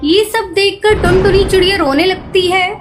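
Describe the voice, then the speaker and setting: Female narrator for children's stories: extremely high pitch, scripted storytelling over background music. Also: steady tone, moderate pace.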